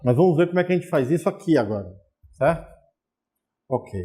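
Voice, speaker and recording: A man's voice: fairly high pitch, expressive, fairly quick, music in the background. A man teaching programming in a recorded distance-learning lesson, explaining step by step and often checking in with viewers. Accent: Brazilian Portuguese.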